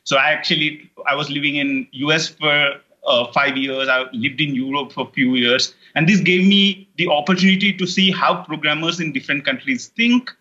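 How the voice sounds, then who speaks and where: A man's voice: on the high side, moderate pace, hardly any pauses, pushed and bright, dull audio. A middle-aged man from India with an Indian English accent, a native Telugu speaker, chatting as an interview guest, rambling with many fillers and restarts. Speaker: guest, online course creator. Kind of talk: casual interview chat.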